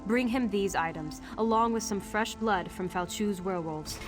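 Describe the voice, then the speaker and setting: An actress playing a steady, practical female war leader in a fantasy video game, speaking in a measured, serious way. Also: medium pitch, close to an everyday voice. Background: clear music.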